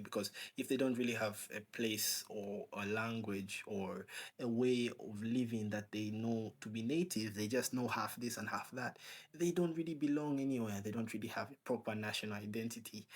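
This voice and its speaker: A man's voice: on the low side, a bit bright, slightly rough. Man musing aloud in casual monologue. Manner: rambling with many rhetorical questions and filler "like". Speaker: channel host.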